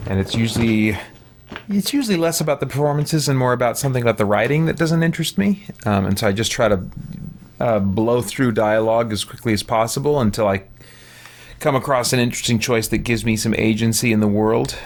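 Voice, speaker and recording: A man's voice: on the low side, slight background noise. A man hosting a livestream, chatting casually as he plays in a hesitant, musing, wry way. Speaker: game streamer, host. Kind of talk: casual livestream gameplay chat.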